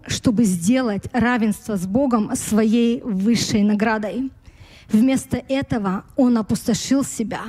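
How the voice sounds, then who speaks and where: A woman preaching a sermon in Russian, speaking slowly and pausing to search for words, her Russian touched by long years in America. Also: a little high, mellow, long reverb tail. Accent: American-influenced Russian. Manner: slow, searching for words, with light laughs.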